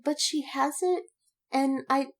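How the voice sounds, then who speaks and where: A woman's voice: sits high, slow. A woman in casual podcast chat about fandom, speaking emphatically with slang and swearing and repeating words for effect. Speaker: podcast co-host.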